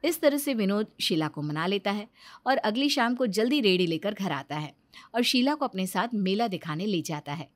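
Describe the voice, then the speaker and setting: A woman narrator reading a scripted children's story in an expressive storytelling manner. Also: mid-range voice, moderate pace, no room sound.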